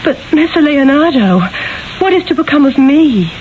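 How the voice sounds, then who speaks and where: A woman's voice: high voice, expressive, normal speed. A woman acting the part of a distraught, devoted young Florentine wife in an earnest, theatrical 1970s radio drama. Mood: troubled, earnest. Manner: earnest, pleading, theatrical delivery. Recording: dull 1970s radio broadcast, faint noise.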